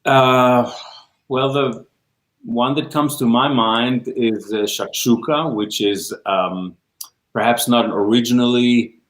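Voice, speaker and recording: A man's voice: medium pitch, steady tone, takes it slowly, a bit bright. A man from Israel with an Israeli accent, Hebrew his first language, speaking as a guest in a casual interview chat, hesitant with many ums and restarts. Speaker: music teacher and guest. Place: room, livestream. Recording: roomy echo.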